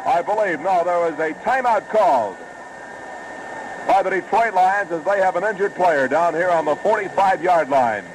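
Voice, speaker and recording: A man calling a football game play by play on live radio, brisk and rising with the action, on a noisy old broadcast recording. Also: high-pitched. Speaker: play-by-play announcer.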